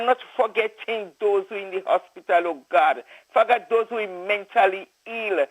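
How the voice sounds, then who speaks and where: High-voiced man praying fervently. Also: fairly bright tone, low-quality recording. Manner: repeats "oh God, Father" between phrases. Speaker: prayer leader. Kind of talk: fervent spoken prayer.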